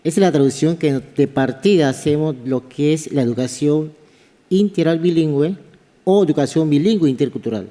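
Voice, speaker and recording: A man from Panama with a Kuna accent, an anthropology professor giving a halting conference talk that often repeats words. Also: fairly high pitch, dark tone. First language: Kuna (Guna). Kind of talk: conference presentation. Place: large conference hall. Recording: reverberant conference hall.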